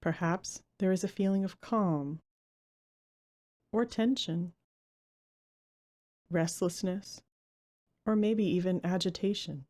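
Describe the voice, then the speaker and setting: Female meditation guide, lowish and very soft-edged in tone, gentle, unhurried delivery with generous pauses.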